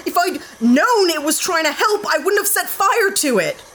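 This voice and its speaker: A woman's voice: extremely high pitch, lively intonation, moderate pace, fairly bright tone, a little hiss or hum. A woman playing a brash, blunt heroine. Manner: blunt and snappy. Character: brash, trigger-happy young heroine.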